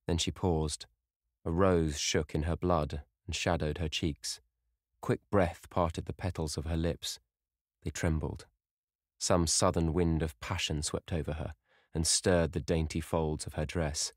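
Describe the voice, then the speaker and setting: A man narrating a classic novel in a measured, unhurried way. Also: very deep voice, little pitch movement, dry recording, clean studio sound. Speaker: audiobook reader. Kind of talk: scripted narration.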